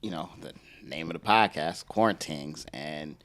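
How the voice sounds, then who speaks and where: A man's voice: rumbling low, normal speed, fairly bright tone. A young man hosting a podcast, laid-back and slangy, laughing often, talking into a handheld mic in a living room. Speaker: podcast host. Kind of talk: casual podcast chat. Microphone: handheld microphone.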